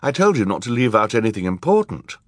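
A man's voice: on the low side, very animated, fast talker, no echo, clean studio sound, pitched above the performer's own voice. A man voicing a female judge in an audiobook, formal and authoritative, stern yet kindly. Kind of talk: audiobook character acting.

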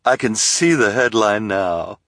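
A man voicing an eager, gum-chewing newspaper reporter woman who gushes in slow, drawn-out lines. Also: lowish voice, expressive, fairly bright tone, no room sound, pitched above the performer's own voice. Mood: gushing. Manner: gushing, drawn-out.

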